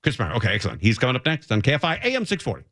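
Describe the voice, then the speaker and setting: A man's voice: mid-range voice, huge pitch swings, racing, fairly bright tone. A man hosting a radio talk show, giving off-the-cuff, opinionated commentary in a conversational way and repeating phrases for emphasis. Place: radio studio.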